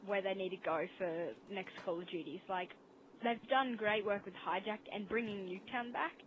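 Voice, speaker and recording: A woman in a casual gaming discussion over voice chat, halting and repeating words as she goes. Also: medium pitch, rough recording. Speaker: podcast guest. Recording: faint noise, online voice chat.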